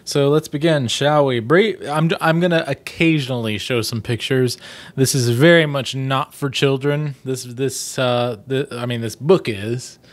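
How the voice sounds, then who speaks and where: A man's voice: medium pitch, fairly even intonation, unhurried, no echo. A man in his thirties reading a children's book aloud expressively, with casual, chatty asides, close to a desktop microphone. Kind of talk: storybook reading with casual commentary. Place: small plain room indoors.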